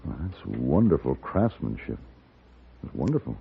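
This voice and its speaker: An actor playing a steady, courteous American gunfighter in a radio western, speaking and narrating in a measured, gentlemanly way. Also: male voice, full character voice. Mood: probing, concerned. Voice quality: deep, gravelly. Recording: muffled narrow-band old radio, faint hiss.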